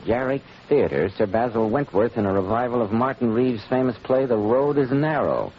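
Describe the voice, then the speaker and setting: A man's voice: mid-range voice, lively intonation, moderate pace, lightly acted. A man hosting as announcer in a 1940s radio drama style. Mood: upbeat. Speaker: announcer, host. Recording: muffled, noisy 1940s radio recording.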